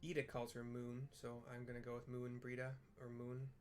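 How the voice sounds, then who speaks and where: A man's voice: medium pitch, completely flat delivery, normal speed, a little mellow. Chatty male gamer, faint background noise. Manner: chatty, rambling asides. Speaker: gamer, let's player. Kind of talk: casual running game commentary. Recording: faint noise, room ambience.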